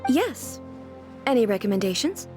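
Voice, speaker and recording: A woman's voice: a little high, very animated, normal speed. A woman playing a regal, naive ruler-goddess in a video game, speaking formally with composed, earnest curiosity. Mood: calm, curious. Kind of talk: scripted video game voice acting. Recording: faint music behind the dialogue.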